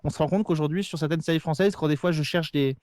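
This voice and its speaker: Fast-talking, higher-voiced man on a podcast. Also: darkish tone, dry recording, clean studio sound. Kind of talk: casual round-table chat.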